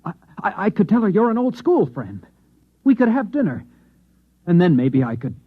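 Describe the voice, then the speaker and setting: Desperate self-proclaimed Martian, husky, swooping pitch, halting, pleading, old radio drama, dull recording. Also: male voice, sing-song, exaggerated voice. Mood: anxious, halting. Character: frightened man insisting he is a displaced Martian. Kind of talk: old-time radio acting.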